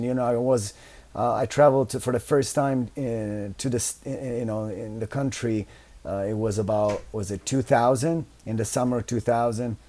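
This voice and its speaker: A man in his thirties speaking English with an Italian accent, Italian being his first language. His voice is mellow and warm at a middling pitch. He rambles easily as a guest, leaning on "you know" and restarting his sentences. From Italy. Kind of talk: casual interview chat.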